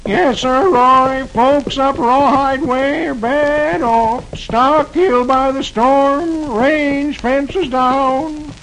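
A man voicing a doleful Western cowhand, slow and folksy, lamenting in a radio funnies serial. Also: very high voice, steady tone, a bit bright, a little hiss or hum, voice pushed up, heavily acted, low-quality recording. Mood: gloomy. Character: doleful Western cowhand bringing gloomy news.

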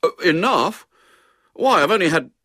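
A man's voice: mid-range voice, very animated, quick pace, a bit bright, slightly rough, no room sound, clean studio sound, pitched above the performer's own voice, full character voice. A man voicing a stubborn, indignant schoolboy in a storybook reading. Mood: sulky, protesting. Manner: indignant, protesting. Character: stubborn scornful schoolboy, sulky and argumentative.

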